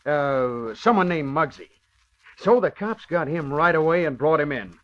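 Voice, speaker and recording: An old, muffled radio drama recording carries a man as an eager newspaper reporter. The voice is high for a man, breezy and tough-talking in a slangy way. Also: expressive, normal speed, close to an everyday voice. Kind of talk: 1940s radio acting.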